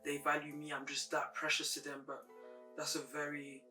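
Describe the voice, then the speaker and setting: A young woman with a naturally deep voice talks candidly and thoughtfully as an interview guest. Her delivery stays even and flat. She is recorded from a little distance, with clear music running underneath. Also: normal speed. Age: young adult. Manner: reflective and candid, speaking in a level tone. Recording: distant mic with music playing behind.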